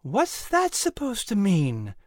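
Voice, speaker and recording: A woman's voice: mid-range voice, wildly swooping pitch, slow pace, dry recording, clean studio sound, heavily acted. A woman playing a spirited, devout colonial mother in a children's story, speaking emphatically. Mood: worried.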